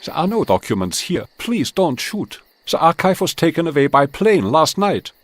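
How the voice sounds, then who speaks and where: An actor playing a frightened captured enemy officer in a war game, pleading haltingly for his life. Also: fairly high pitch, very animated, slight background noise. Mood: terrified, pleading.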